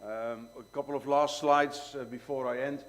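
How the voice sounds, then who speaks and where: A man runs a press conference presentation in a relaxed, easy manner, moving through his slides and passing the word along. His voice sits in an ordinary middle register. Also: mid-range voice, normal speed, a little hiss or hum.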